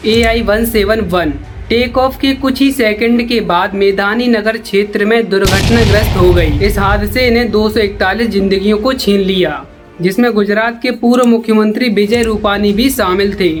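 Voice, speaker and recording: A woman's voice: medium pitch, moderate pace. A woman delivers scripted documentary-style news narration. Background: background music.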